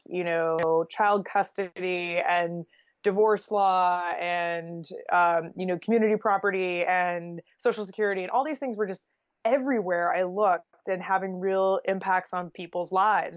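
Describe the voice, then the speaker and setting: A woman's voice: fairly low pitch, fairly even intonation, moderate pace, no room sound, dull audio. An American woman telling stories casually as an interview guest, chatty and sprinkled with "like". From Oregon, United States.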